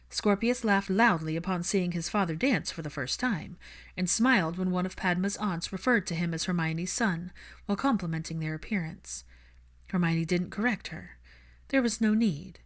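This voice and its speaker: A woman reading fiction aloud as an audiobook narrator, in a scripted storytelling style. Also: lowish voice.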